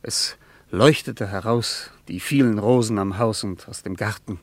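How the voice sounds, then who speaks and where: An actor playing a wistful former soldier in a radio play, recalling a lost love in short, searching phrases. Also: male voice, on the low side, unhurried, no echo. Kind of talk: radio play acting, halting reminiscence.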